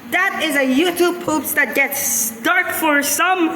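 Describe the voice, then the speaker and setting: A woman's voice: very high-pitched, takes it slowly. A woman giving blunt, dismissive, repetitive casual reaction commentary, recorded in a reverberant room. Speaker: reaction video creator. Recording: echoey reverberant room, faint noise.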